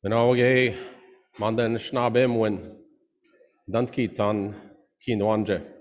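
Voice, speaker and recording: A man who is a chief, giving a formal presentation to an assembly in a loud voice with many pauses. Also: fairly low pitch, fairly even intonation. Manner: loud, pausing often. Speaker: chief and languages portfolio holder. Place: large assembly hall. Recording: long hall reverb.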